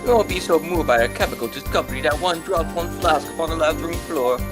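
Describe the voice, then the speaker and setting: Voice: mid-range voice, fast talker, music in the background, voice pushed up, lightly acted. A performer voicing a pompous scientist witness from a video game in a formal, florid, theatrical manner. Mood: haughty.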